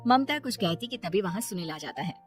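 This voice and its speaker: A woman's voice: mid-range voice, lively intonation, fairly quick, music in the background. A woman storyteller narrating a scripted family moral story.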